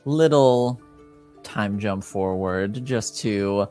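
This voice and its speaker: A man's voice: fairly low pitch, very slow, a little mellow, soft background music. A man bantering casually as he hosts a tabletop roleplaying game as game master.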